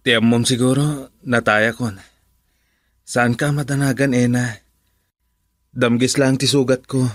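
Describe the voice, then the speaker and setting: A man's voice: mid-range voice, steady tone, measured pace, long pauses, no echo, studio quality, lightly acted. A man acting a humble, respectful young working man in a radio drama, plain-spoken with an Ilocano Filipino accent. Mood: earnest, reassuring.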